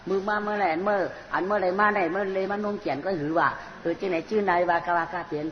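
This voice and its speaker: A storyteller telling a comic folk tale in an animated way, often repeating short phrases. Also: mid-range voice, very fast. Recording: muffled, noisy recording in a reverberant space.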